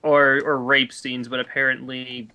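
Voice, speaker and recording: A man chatting casually and debating films on a voice call, with an animated, exasperated way of reacting. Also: mid-range voice, a little slow, clear, resonant tone. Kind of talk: casual chat, film debate on a group voice call.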